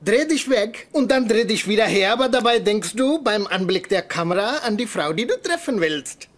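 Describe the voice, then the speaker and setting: A man's voice: sits high, lively intonation, normal speed. An actor playing a fussy, eager man in a dubbed sitcom, chatty and full of instructions. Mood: eager, instructing. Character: fussy, eager man coaching his friend. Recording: noisy sitcom audio with background ambience.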